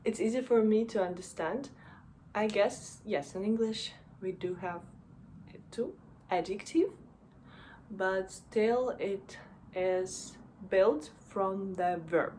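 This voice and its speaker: Unhurried woman. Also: mid-range voice, a little mellow, slight background noise. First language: Russian. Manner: unhurried, with fillers and frequent "right?". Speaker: German language teacher. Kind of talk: casual explainer lesson.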